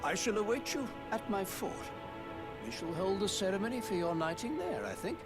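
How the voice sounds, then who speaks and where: Self-important noble, theatrical video game acting, pitch all over, music behind. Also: male voice, sing-song, moderate pace. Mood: grand, proud. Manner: pompous, florid, theatrical. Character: pompous, self-important nobleman claiming a lordship.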